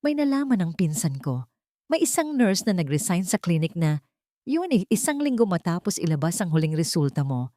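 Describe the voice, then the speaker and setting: A woman's voice: lowish voice, big pitch swings, moderate pace, mellow, dry recording, studio quality. A woman voicing a serious, assured male ally in an audio story. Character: serious, helpful man, a loyal ally.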